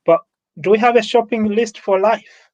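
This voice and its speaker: A man with a Ugandan accent and a fairly high-pitched voice addresses an online summit. He speaks without a script, leaning on repetition and emphasis to make his points. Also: normal speed, a little mellow, muffled recording.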